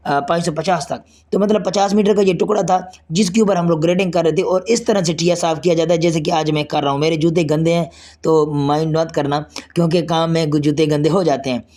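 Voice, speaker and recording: A hoarse man with a Pakistani Urdu accent, a construction site engineer walking an outdoor road works and explaining each step to his vlog viewers. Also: on the high side, fairly even intonation, a little fast, a little mellow. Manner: explanatory, pointing things out step by step.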